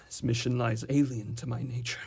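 Man playing a reluctant, husky young prince. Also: medium pitch, a little mellow, full character voice. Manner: theatrical, wordy mock-Elizabethan delivery. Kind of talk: Shakespearean radio drama.

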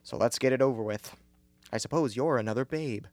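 A young man acting a gruff, impatient American baseball boss who barks slangy, brusque lines in a radio comedy. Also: medium pitch, brisk, a little mellow, dry recording, close to an everyday voice. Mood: exasperated. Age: young adult.

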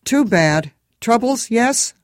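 A man's voice: very high-pitched, expressive, slow, dry recording, studio quality, pitched above the performer's own voice, heavily acted. A man voicing a chatty, kind male cab driver from Moldova, with a Moldovan accent and clipped broken English. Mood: friendly.

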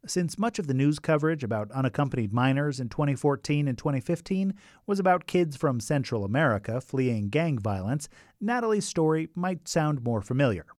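A man narrates a radio story in a relaxed, talky way and drops in the occasional wry aside. His voice sits in the middle of the male range. The read is close and dry, with no room sound. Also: mid-range voice, brisk, no echo. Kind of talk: scripted documentary narration.

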